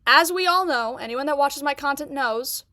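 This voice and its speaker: A woman's voice: sits high, moderate pace, bright, forceful tone, dry recording, clean studio sound. A woman streamer who stutters, reacting with indignant commentary and often repeating herself.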